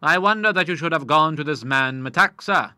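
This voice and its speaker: Man voicing a genial old lawyer, learned, amiable, bright crisp tone, dry sound. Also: a little high, fairly quick, no room sound. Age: older. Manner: genial, fond of Latin tags.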